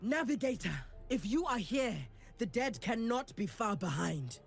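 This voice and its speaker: An actress voicing a bold, commanding priestess of a sea-serpent god in a video game, solemn and preachy, speaking in firm declarations. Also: female voice, on the high side, very animated, unhurried, soft background music. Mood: solemn, proud.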